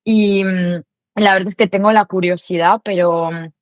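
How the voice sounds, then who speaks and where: A woman's voice: mid-range voice, steady tone, normal speed, no echo, studio quality. A young adult woman, a Spanish learner whose first language is something else, talking as an interview guest. She rambles casually with many fillers. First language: not Spanish. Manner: chatty, hesitant, many fillers.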